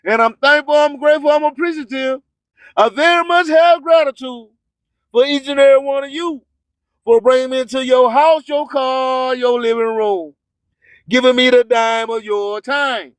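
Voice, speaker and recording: A man's voice: very high voice, steady tone, moderate pace, clear, resonant tone, no room sound. A man hosting a radio show, delivering an emphatic, preachy motivational monologue full of streetwise slang and repeated rhyming slogans. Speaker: radio host.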